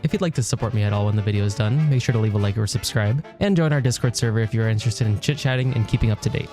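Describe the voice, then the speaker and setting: A man with a fairly low voice narrates a scripted video essay at a quick clip. His delivery is informal and wry, full of casual asides. Guitar music plays clearly behind him. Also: dark tone. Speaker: video essayist, channel host.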